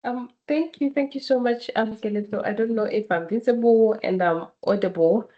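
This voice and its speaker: A woman's voice: on the high side, normal speed, muffled recording. A woman from Botswana with a cold, giving a keynote talk on a video call, hesitant and full of ums. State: has a cold. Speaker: keynote speaker. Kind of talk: online webinar presentation.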